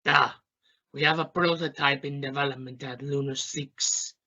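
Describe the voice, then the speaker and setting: A man's voice: medium pitch, fairly even intonation, sharp tone. Dull-sounding, brusque scientist, acted by a man. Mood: formal, courteous. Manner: formal, measured, slightly halting phrasing. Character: brusque male Russian space scientist and mission captain. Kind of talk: scripted radio play interview. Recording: dull lo-fi recording.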